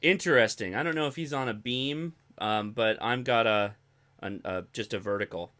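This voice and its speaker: A male American livestream host with a bright, crisp-edged tone at an ordinary pitch. He talks informally and chattily, drifting from one aside to the next as he addresses his viewers. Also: normal speed. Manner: rambling, digressive, chatty. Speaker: host of a ham radio live stream. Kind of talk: casual livestream chat.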